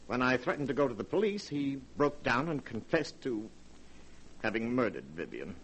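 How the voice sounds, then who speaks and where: An actor in a 1940s radio horror drama playing a cold, scheming murderer with a precise, melodramatic American delivery. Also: male voice, medium pitch, big pitch swings, moderate pace, fairly bright tone, breathy edge. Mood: feigned grief, uneasy. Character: cold, scheming murderer posing as grieving brother. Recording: faintly noisy vintage radio recording.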